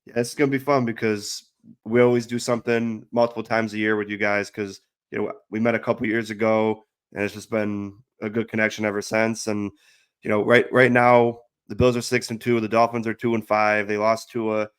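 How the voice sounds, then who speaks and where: A hoarse man hosting a casual football talk show, chatty and friendly as he steers the conversation. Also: lowish voice, little pitch movement, brisk. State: hoarse from illness. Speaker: podcast host.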